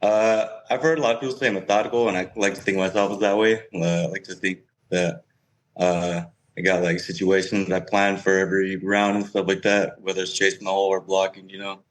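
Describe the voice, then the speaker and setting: A man's voice: deep voice, flat delivery, a little fast, low-quality recording. A young man speaking casually as an interview guest and pro player. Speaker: guest, professional cornhole player.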